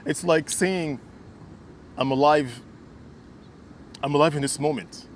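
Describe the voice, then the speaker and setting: A man's voice: fairly high pitch, slow pace, a little hiss or hum. A man from Kinshasa co-hosting a casual conversation, earnest and emphatic, often repeating words as he builds a point. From Kinshasa, Congo. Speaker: co-host.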